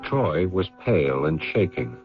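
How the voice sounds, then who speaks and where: An actor playing a troubled, responsible brother, narrating a 1970s radio suspense drama in a measured, sober way. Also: male voice, extremely low pitch, sing-song, full character voice. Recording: dull old radio recording, music behind.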